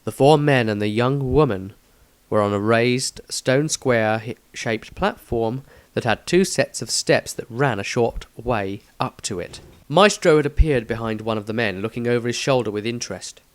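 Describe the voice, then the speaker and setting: A man's voice: mid-range voice, normal speed, no echo. A man reading a scripted fantasy story as its narrator. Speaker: author and narrator.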